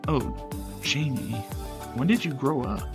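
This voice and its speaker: Male visual novel reader, unhurried pace, performing dialogue over faint music. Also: mid-range voice, animated. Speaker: game player voicing each character. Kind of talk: acted narration of a visual novel.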